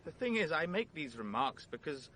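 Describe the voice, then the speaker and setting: Naive, gallant upper-class young Englishman: pompous, animated, higher-pitched, vintage radio play. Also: moderate pace, slight background noise. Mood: smitten. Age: young adult. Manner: pompous, hoity-toity. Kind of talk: vintage BBC radio drama acting.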